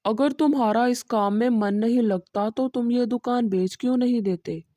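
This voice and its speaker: A demanding rich gentleman in a cartoon story, voiced by a woman, blunt and complaining. The tone is mellow and the sound dry. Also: fairly high pitch, moderate pace, no echo, natural voice. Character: rich male customer, demanding, matter-of-fact.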